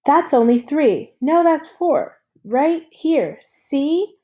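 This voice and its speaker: A woman's voice: high voice, expressive, takes it slowly, dark tone, voice pushed up. A woman reading a storybook voices petty, argumentative ten-year-old boys in an insistent, sour manner.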